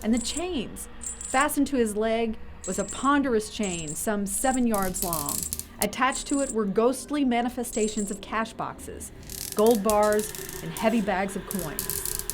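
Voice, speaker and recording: A woman's voice: fairly high pitch, expressive, a little slow, a little hiss or hum. A woman giving scripted storytelling narration as the narrator of an audio theater piece.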